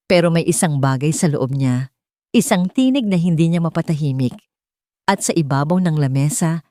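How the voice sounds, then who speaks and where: A woman with a Filipino accent narrating a scripted love story in a measured, sentimental way. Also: on the low side, a little mellow, dry recording, clean studio sound. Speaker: narrator of audio love stories.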